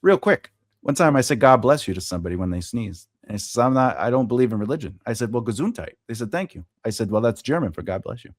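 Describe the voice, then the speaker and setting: A man's voice: mid-range voice, lively intonation, quick pace, dry recording, clean studio sound. A man with a Long Island accent hosting a podcast, chatting playfully and animatedly with his guest. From Long Island, New York. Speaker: podcast host. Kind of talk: casual conversation.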